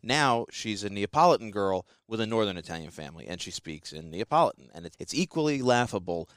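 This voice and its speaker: Low-voiced man, agreeable co-host. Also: big pitch swings, brisk, a bit bright, smooth, no room sound. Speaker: podcast co-host. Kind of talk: casual discussion.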